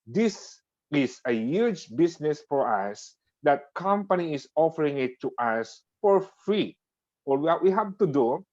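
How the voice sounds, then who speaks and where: A man hosting an online meeting, giving a motivational talk and coaxing listeners along by checking in with them. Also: fairly high pitch. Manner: coaxing, checks in with "okay?" and "right?". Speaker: meeting host. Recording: dull lo-fi call audio.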